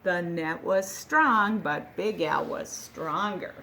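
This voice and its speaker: A woman reading a children's picture book aloud, gentle and expressive, with dramatic pauses. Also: on the low side.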